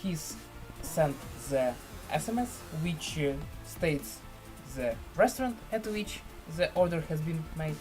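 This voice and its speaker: A man presenting a screen-share software tutorial in halting, deliberate phrases with formal, elaborate wording. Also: on the high side.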